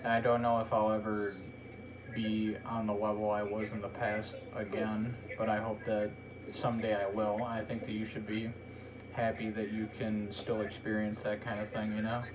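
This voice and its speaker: A man talking in a flat, monotone way in a casual podcast chat. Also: fairly low pitch, moderate pace, muffled recording, background noise. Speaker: podcast host.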